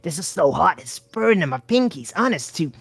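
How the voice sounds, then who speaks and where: A woman's voice: lowish voice, sing-song, moderate pace, vocal fry, breathy edge, no echo, voice pushed up, heavily acted. A woman voicing a brash, broke male hustler who talks in a pushy, wheedling, slangy way.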